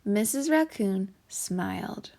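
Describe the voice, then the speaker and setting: A woman teacher reading a picture book aloud to children with measured, deliberate phrasing. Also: mid-range voice, expressive, gravelly, mellow. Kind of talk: scripted storybook narration for children.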